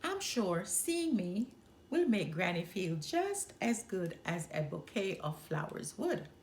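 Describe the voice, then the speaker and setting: A woman's voice: medium pitch, big pitch swings, slow pace, slight background noise. A woman voicing a sweet, cheerful, easily distracted little girl in a storybook reading. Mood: breezy.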